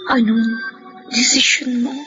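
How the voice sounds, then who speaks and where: Isang babaeng gumaganap sa dramang panradyo bilang maalalahanin at mapagtanggol na nakababatang kapatid, taimtim at madamdamin magsalita. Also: female voice, fairly high pitch, level tone, takes it slowly. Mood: concerned. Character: concerned, protective, loyal younger sister. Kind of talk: Filipino radio drama acting. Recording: dull lo-fi radio drama sound. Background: prominent dramatic music.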